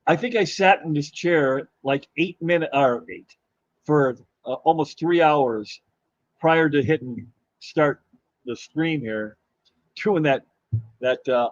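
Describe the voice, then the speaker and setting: Man streaming live, voice going hoarse. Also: on the high side, dry recording, dull audio. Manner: rambling, halting, with many fillers and restarts. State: losing his voice. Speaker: livestream host. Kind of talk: casual live-stream chat, reading viewer comments.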